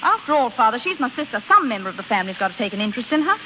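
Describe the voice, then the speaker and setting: An actress playing a meddling, gossipy married sister in a vintage radio drama, gushing, insincere and bossy. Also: female voice, on the high side, big pitch swings, rapid-fire, highs cut off. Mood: indignant. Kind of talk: 1930s-40s radio drama acting. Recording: thin, band-limited old radio recording, faint hiss.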